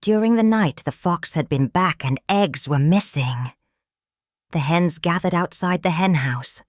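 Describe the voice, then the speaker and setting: A woman reading a children's picture book aloud in a calm, measured, expressive storytelling manner. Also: fairly low pitch, dry recording, clean studio sound. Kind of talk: children's read-aloud narration.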